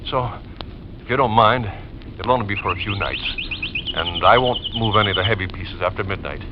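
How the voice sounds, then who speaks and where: A man playing a gentle, wistful, polite widower obsessed with recreating his old home, in 1940s radio drama style. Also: fairly low pitch, a little fast, lightly acted. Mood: anxious, insistent. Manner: polite, earnest, nostalgic. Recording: dull, noisy old radio recording.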